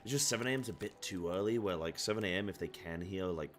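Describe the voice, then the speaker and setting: A man streaming from his apartment, chatting casually, thinking aloud and reading chat aloud. He has a deep, carrying voice and talks loudly by habit. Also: quick pace, soft background music. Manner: loud by habit. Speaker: live streamer. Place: apartment room at home.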